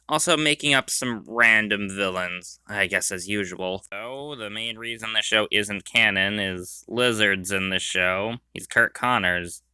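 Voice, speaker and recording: A man's voice: fairly low pitch, sharp tone, clean tone, no echo. A man giving an informal review as a voice-over host, conversational and hedging his opinions as he goes.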